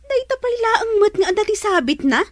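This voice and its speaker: A woman's voice: very high-pitched, expressive, a little fast, no echo, studio quality. A woman playing a spiteful, whiny young daughter who complains petulantly, in an Ilocano-accented radio drama.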